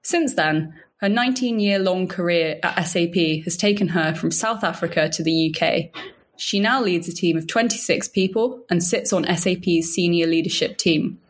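A woman hosting a podcast, reading a polished introduction and then interviewing in a measured, friendly way. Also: fairly low pitch. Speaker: podcast host. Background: faint music under intro.

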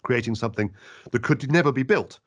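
A man who works as a radio interviewer, answering questions in an animated, emphatic way with frequent restarts. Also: medium pitch, a little fast.

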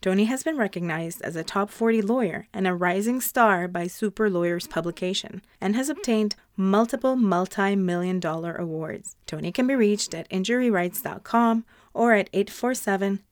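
A woman's voice: medium pitch, moderate pace, no room sound. A woman speaking as a podcast host, presenting to listeners and interviewing a guest. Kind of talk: scripted podcast introduction and sponsor reads, then interview questions.

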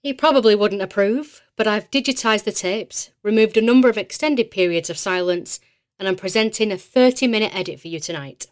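A woman playing a poised podcast host of eerie mysteries in an audio drama, with a measured, articulate, theatrical delivery. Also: medium pitch, lightly acted. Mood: measured, grave. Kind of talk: scripted podcast narration.

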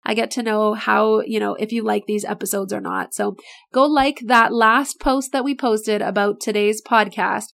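A middle-aged woman hosting her own podcast, chatting in an enthusiastic, motivational way, her voice a bit raspy and tired. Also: medium pitch, steady tone, normal speed, a bit bright. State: voice getting raspy, not feeling great. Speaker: podcast host and business owner. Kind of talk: casual solo podcast talk.

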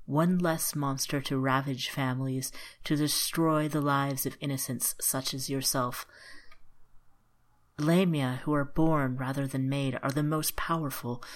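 A centuries-old hunter of monsters speaks with cold, coaxing calm, as a woman reader puts him on. The voice sits low and deep. It is a storybook figure, patient and ruthless. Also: unhurried. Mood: coldly persuasive.

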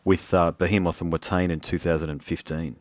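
A man's voice: deep voice, little pitch movement, brisk, no room sound. A young Australian man hosting a podcast interview, chatty and enthusiastic, calling his guest "mate". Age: young adult. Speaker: podcast host. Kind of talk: casual interview.

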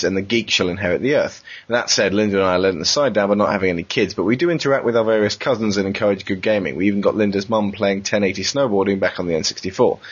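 A man's voice: deep voice, breathy edge. A man co-hosting a casual podcast, chatting and reading out listener messages. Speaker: podcast co-host. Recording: dull lo-fi podcast audio.

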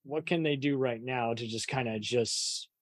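A man's voice: medium pitch, moderate pace, a little hiss or hum. A man hosting a casual interview, talking informally with rambling questions. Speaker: interviewer, host.

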